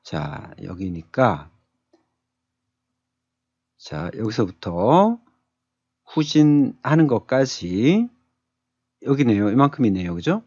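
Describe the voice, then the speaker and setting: Male tutorial instructor, conversational and thinking aloud; husky tone, dull close lo-fi recording. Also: mid-range voice, very animated, takes it slowly, pauses often, a little mellow, no room sound. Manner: conversational, thinking aloud, often checking with short tag questions. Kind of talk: screen tutorial, explaining step by step. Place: indoors, screen-capture voice-over.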